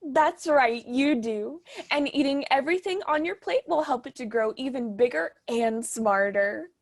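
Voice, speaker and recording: A high-voiced, slightly husky mother played by a woman, doting and bossy in a comic play. She gushes and pronounces with grand, pompous melodrama. The sound is close and dry. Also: expressive, a little slow, no echo, full character voice. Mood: doting, cooing. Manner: theatrical, gushing, pompous recitation. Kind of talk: stage comedy acting.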